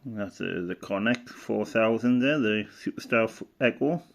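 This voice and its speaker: Middle aged guy with a lowish voice, chatting away casually, kinda hesitant, thinking out loud as he shows stuff. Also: normal speed, gravelly. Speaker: radio hobbyist presenter. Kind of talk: casual rambling show-and-tell.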